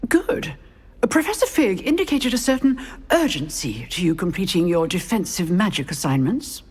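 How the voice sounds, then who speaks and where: A stern, knowing witch professor from a fantasy game. Her voice is husky and her pitch moves freely within formal, measured sentences. It rings with long hall reverb. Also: big pitch swings. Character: stern, knowing female magic school professor. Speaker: professor of magic. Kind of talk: scripted video game acting.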